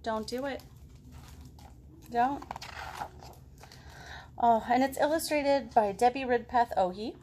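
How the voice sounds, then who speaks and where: A woman in her thirties talking to young children as a storytime librarian, expressive, with simple questions and pauses. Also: a little high. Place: home living room.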